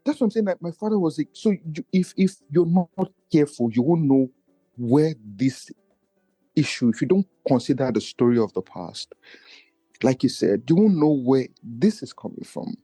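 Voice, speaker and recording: Earnest man with a low, mellow voice. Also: expressive, normal speed, breathy edge, no echo, faint music behind. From Nigeria. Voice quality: low voice he feels does not carry. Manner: earnest, searching, restarts thoughts often. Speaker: podcast guest. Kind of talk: reflective personal conversation.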